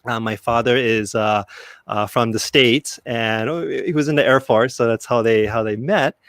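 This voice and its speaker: A young Okinawa-born man, Japanese his first language, co-hosts a live talk broadcast from a studio. He rambles and pokes fun at himself, restarting sentences and filling gaps with "uh" and "you know." His voice sits at an ordinary middle pitch, and the sound is close and dry. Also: normal speed, a bit bright, no echo. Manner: rambling, self-deprecating, many fillers and restarts. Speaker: co-host. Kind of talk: casual live talk show chat.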